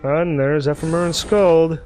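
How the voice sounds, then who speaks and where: Man, higher-pitched, slow pace, playful gaming commentary, theatrical dialogue reading, casual asides. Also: fairly even intonation, darkish tone, soft background music. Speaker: let's play host. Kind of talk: let's play commentary, reading game dialogue aloud.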